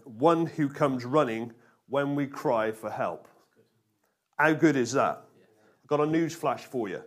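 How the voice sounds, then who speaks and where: Man preaching, informal and jokey. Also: mid-range voice. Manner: colloquial and jokey, with rhetorical questions and tag questions. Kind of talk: informal sermon to a congregation.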